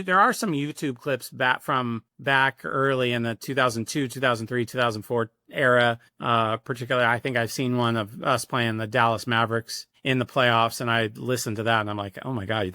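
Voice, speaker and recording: Bright-voiced middle-aged American man, dry sound. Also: mid-range voice, fairly even intonation, normal speed, few pauses, dry recording. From Sacramento, California, USA. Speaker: sports public address announcer, podcast guest. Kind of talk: casual interview storytelling.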